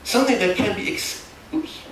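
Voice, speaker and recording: A middle-aged man with a German accent giving a technical workshop talk in a large hall, explaining in a halting, self-correcting way. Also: very high voice, lively intonation. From Germany, near the Dutch border. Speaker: conference speaker. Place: large darkened conference hall. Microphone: far camera. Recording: echoey hall, distant mic, background noise.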